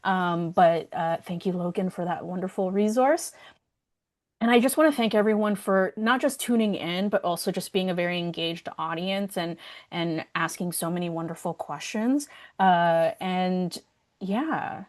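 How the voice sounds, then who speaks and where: A woman's voice: on the low side, moderate pace. A woman hosting an online workshop, speaking casually and chattily, often interrupting herself as she makes announcements. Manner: informal, chatty, self-interrupting. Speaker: workshop host and event organizer.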